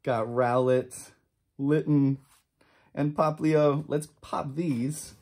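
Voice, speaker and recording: A man in his twenties giving casual, enthusiastic pack-opening commentary, chatty and quick to drop short exclamations. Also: on the high side, steady tone, darkish tone. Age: 20s. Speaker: trading card channel host. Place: indoor room with shelves of collectibles.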